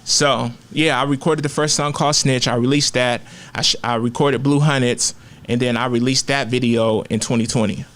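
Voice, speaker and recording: A man's voice: medium pitch, fairly even intonation, normal speed, fairly bright tone. A man, talking candidly and with plenty of profanity and slang as a rapper guest in a casual interview. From Oakland, California.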